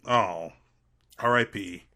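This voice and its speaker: A man with a Trinidadian accent tinged with American, telling stories as a podcast guest in an animated, rambling way. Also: fairly low pitch, takes it slowly. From Trinidad and Tobago. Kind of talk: casual chat.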